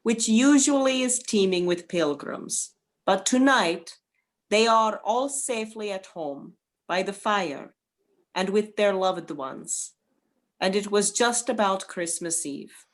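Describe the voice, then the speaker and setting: A woman's voice: medium pitch, slow. A woman narrating a folktale from a script for an amateur radio play.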